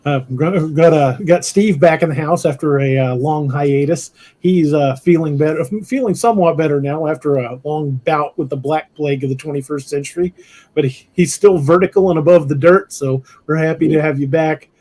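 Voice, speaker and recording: A middle-aged man hosting a live podcast, rambling and laughing, his voice a bit hoarse from shouting. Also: on the high side, normal speed. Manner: rambling, self-interrupting, laughs often. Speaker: podcast host. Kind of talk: casual livestream chat. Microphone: headphones with mic on a video call.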